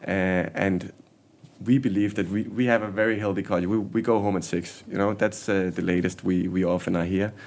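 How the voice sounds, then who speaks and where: A man's voice: low-pitched, steady tone, fairly quick, a little mellow. A man, a guest in a casual interview, speaking candidly with frequent restarts and repeated words. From Denmark. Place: workshop basement.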